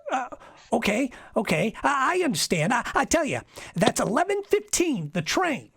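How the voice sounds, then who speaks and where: Husky, creaky, creepy hired killer. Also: male voice, very high voice, huge pitch swings, moderate pace, fairly bright tone, no echo, studio quality, exaggerated voice. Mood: sinister, eager. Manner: stammering, repetitive, giggly. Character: giggling, creepy, nervously eager male hired killer. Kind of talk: old-time radio thriller acting.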